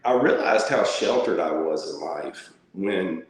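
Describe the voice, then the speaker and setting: In a very echoey room, a middle-aged man speaks in a fairly low voice. He is a folksy, plainspoken guest, reminiscing casually. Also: animated, measured pace. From rural Louisiana, United States. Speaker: interview guest, barber. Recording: very echoey, reverberant room sound.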